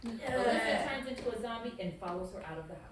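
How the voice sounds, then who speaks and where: A woman's voice: on the high side, animated, fast, over a noisy crowd. A woman reading stage directions as scripted narration at a table read. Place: echoey room at a group table read. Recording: very echoey, distant mic, clear noise.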